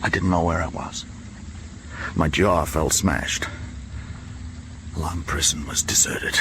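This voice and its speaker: Halting, gravelly grieving father. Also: low voice, steady tone, noisy background. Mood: haunted grief. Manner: halting, broken delivery, repeating himself. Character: grieving, tormented father, an American former prisoner of war. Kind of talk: radio drama acting.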